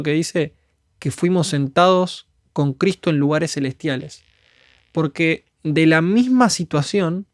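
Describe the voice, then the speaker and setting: A man from Argentina speaks with a broad Argentine Spanish accent and a voice pitched a little above the usual for men. He preaches in an earnest, fervent, conversational way and slips into prayers as if speaking them aloud. The sound is dry and close, with no room in it. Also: dry recording. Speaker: guest speaker.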